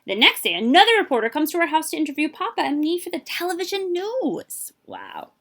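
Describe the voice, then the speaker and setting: A woman reading a children's picture book aloud in an animated way, speaking directly to young listeners. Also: sits high, a little fast. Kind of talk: expressive children's read-aloud with asides to listeners.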